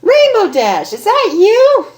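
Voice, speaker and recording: A woman voicing a bubbly, mischievous female cartoon pony in an excitable, playful, sing-song way. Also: very high voice, slow, voice pushed up, full character voice. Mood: gleeful surprise.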